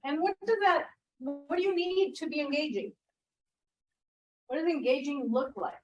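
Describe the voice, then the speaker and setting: A woman's voice: sits high, expressive, normal speed, darkish tone, highs cut off, faint music behind. A middle-aged woman leading a teaching workshop in a classroom, engaging the group with questions and echoing their answers. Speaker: workshop presenter.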